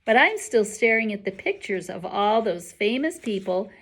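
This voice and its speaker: A woman reading a children's novel aloud like a teacher, expressive and emphatic with clear enunciation. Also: medium pitch, moderate pace. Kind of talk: expressive storybook read-aloud.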